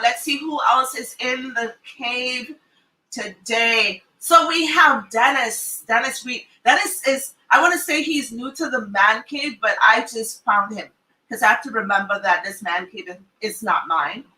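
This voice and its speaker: A woman with a Trinidadian accent hosts a relaxed panel chat, teasing her guests and laughing easily. Her voice is bright and fairly high. It rings in an echoey room, a little off the mic. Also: measured pace, recorded from a distance. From Trinidad and Tobago. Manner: chatty, teasing, laughs often. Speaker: host. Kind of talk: casual panel talk.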